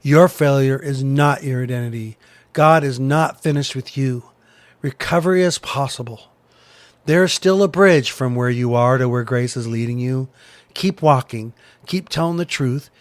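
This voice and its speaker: A man hosting a podcast, giving a personal reflection to listeners in deliberate, short, repeated phrases. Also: medium pitch, dry recording. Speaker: podcast host and pastor.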